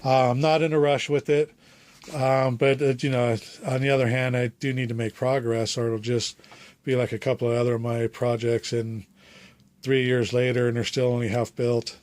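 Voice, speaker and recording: A man with a cold and a phlegmy throat, talking through a hobby build update in a halting, unscripted way with many fillers. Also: medium pitch, flat delivery, darkish tone, dry recording. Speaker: RC hobbyist showing his build.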